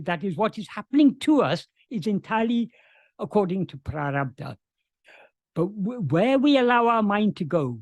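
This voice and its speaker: A man's voice: sits high, a little mellow, no room sound. A man on a video call, answering questions about spiritual teachings in an earnest way, often restarting his sentences. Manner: earnest, frequent restarts and stammered starts. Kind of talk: spoken explanation of spiritual teachings.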